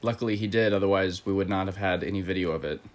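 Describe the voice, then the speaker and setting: Low-voiced man hosting a casual chat. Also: quick pace. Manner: relaxed, conversational, with fillers. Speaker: host.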